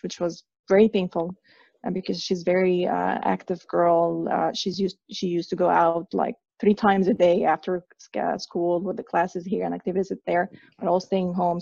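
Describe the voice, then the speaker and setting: A woman's voice: lowish voice, gravelly, mellow, breathy edge, no room sound, muffled recording. A woman from Egypt in her thirties, a guest speaking hesitantly with many fillers and restarts. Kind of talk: conversational interview.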